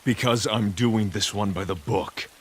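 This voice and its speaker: An actor playing a grim, weary vigilante hero in a comic dub, stern, earnest and measured. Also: male voice, on the low side, a little hiss or hum, lightly acted. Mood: grave, pleading.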